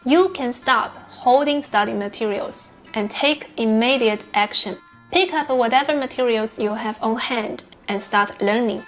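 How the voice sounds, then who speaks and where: Female, measured scripted read, slightly raised pitch, light music bed. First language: Chinese. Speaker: English learner sharing study tips. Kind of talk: scripted narration.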